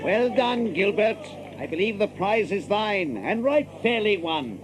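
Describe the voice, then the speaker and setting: An actor playing a haughty, bullying lord in an audio drama, speaking pompous, archaic English. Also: male voice, high voice, very animated, a little slow, loud music behind the voice. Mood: lordly. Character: haughty, bullying lord presiding over archery contest. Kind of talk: theatrical audio drama acting.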